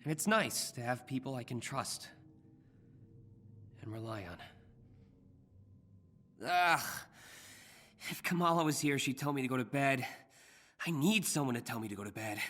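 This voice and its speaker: A man's voice: medium pitch, expressive, moderate pace, long pauses, a bit bright. A man voicing an earnest young superhero in a video game, with an American accent and a hesitant, self-deprecating manner. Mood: weary, wry. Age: young adult. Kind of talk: naturalistic video game voice acting.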